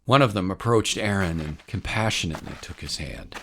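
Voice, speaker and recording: A man's voice: sits low, animated, fairly quick, gravelly, a bit bright, slight background noise. A man reading scripted story narration as the narrator of a tale. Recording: voice over prominent music bed. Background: music.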